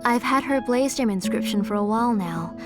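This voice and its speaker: A woman voicing a caring, polite mother in an anime video game, speaking gently. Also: fairly high pitch, animated, fairly quick, over music, natural voice. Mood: gentle, caring. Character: caring, gentle mother of sickly young daughter.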